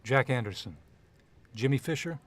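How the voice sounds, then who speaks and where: An actor playing a cool, curt private investigator who talks and narrates in a businesslike 1940s radio noir style. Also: male voice, mid-range voice, animated, moderate pace, a bit bright, natural voice. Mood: probing, unruffled. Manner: clipped, businesslike, unruffled.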